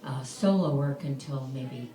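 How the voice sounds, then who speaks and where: A woman's voice: extremely low pitch, measured pace, mellow. A woman actor and poet chatting casually on stage between pieces, rambling with many fillers. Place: lounge bar stage. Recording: roomy, distant live venue recording.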